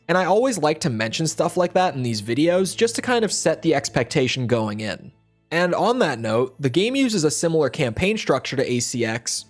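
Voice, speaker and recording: A man reading a scripted video essay voiceover as a game reviewer, slightly hoarse, explaining in a steady, upbeat way. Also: a little high. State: slightly hoarse, near losing his voice.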